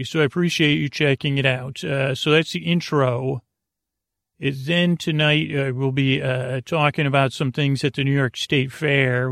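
A man hosts a bedtime podcast in a gravelly, creaky voice. He meanders gently through a soothing monologue, often stalling and restarting his thought partway. The sound is dry, with no room echo. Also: medium pitch. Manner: meandering, halting, trails off and restarts mid-thought. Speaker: podcast host.

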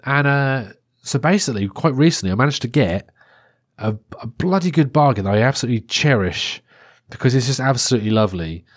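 A man's voice: mid-range voice, expressive, brisk, no echo, clean studio sound. Congested man rambling on a podcast. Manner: rambling and chatty, many restarts and fillers. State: stuffy-nosed, congested. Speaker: podcast host. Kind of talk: solo podcast monologue.

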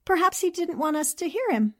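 A woman's voice: very high voice, expressive, brisk, fairly bright tone. A woman playing a composed, polite female newspaper columnist in a radio drama. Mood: composed, curious.

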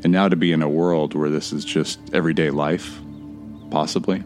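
A man's voice: very deep voice, fairly even intonation, normal speed. A man playing a wry, haunted narrator in an audio drama, reflective and sardonic, giving a monologue. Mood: bitter, troubled. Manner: reflective, sardonic, profane asides. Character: Jake Fisher, wry, haunted man recounting wildfires. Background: music.

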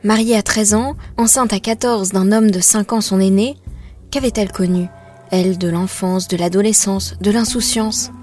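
Woman, literary reading, measured and expressive, faint music underneath, medium pitch. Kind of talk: literary reading of a novel excerpt. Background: soft music.

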